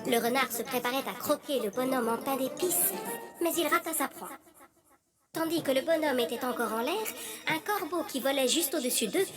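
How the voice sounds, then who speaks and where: A woman's voice: high voice, moderate pace, over music. A woman narrator reading a scripted children's fairy tale.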